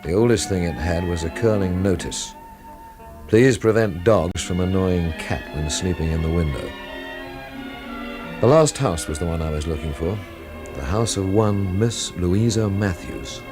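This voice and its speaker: An actor playing a wry, world-weary investigator in a radio drama, with a dry, literary, laconic delivery. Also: male voice, low voice, unhurried, over music. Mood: wry reflection. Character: wry, world-weary male investigator narrating his own inquiry. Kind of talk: radio drama narration and dialogue.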